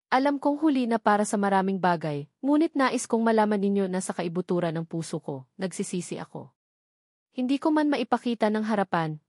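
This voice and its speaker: A remorseful older Filipino mother, played by a woman narrator speaks in an audio drama. She is solemn and measured, with an apologetic tone. The sound is dry and close. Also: mid-range voice, no echo. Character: remorseful older mother writing to her family.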